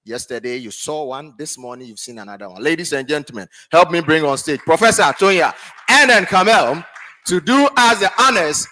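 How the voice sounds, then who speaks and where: A man with a bright, forceful voice announces the next session to a conference hall. He speaks in a slightly high, rousing, declamatory style. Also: animated, brisk. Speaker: event emcee. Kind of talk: stage announcement.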